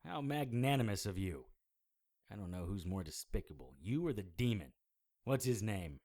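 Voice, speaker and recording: An actor playing a hard-boiled, sardonic occult investigator in a noir audio drama, blunt and world-weary. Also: male voice, on the low side, expressive, moderate pace, darkish tone. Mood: scornful, exasperated.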